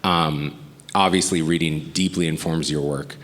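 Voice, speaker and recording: A man's voice: extremely low pitch, steady tone, a little slow, fairly bright tone. A man interviewing a novelist onstage, encouraging and full of short affirmations. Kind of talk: live onstage conversation. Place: festival stage. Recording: long hall reverb.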